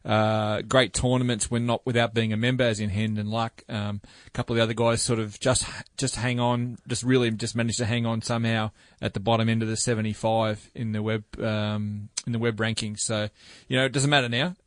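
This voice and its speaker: A low-voiced Australian man weighs in on the golf season in a measured, wry tone, often hedging with "you know". The recording is dry and close to the mic. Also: little pitch movement, no room sound. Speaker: podcast co-host. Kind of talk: casual sports chat.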